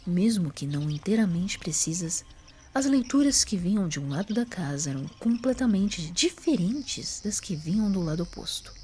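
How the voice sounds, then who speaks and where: A woman's voice: fairly low pitch, expressive, normal speed, darkish tone, slight background noise. A woman reading scripted story narration as the narrator of an audio drama.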